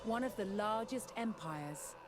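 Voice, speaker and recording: A woman delivers scripted documentary narration in a measured, dramatic storytelling manner. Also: mid-range voice, animated. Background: background music.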